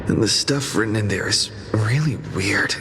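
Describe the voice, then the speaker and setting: An actor playing a weary, put-upon young newcomer at an office job in a video game, grumbling and sighing. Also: male voice, fairly low pitch, normal speed, noisy background, natural voice. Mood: weary, overwhelmed.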